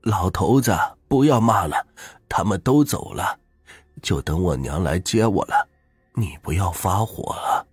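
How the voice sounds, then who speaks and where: A man voicing a frail dying old woman in a spoken ghost story, talking slowly in a trailing elderly way. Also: sits low, animated, husky, no room sound, soft background music, full character voice. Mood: tender.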